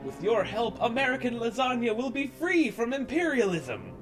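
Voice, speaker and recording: Earnest colonial statesman voiced by a man, raised pitch, gushing patriotic delivery, parody storybook. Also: big pitch swings, moderate pace, over music. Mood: heartfelt. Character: earnest, grateful patriotic founding fathers.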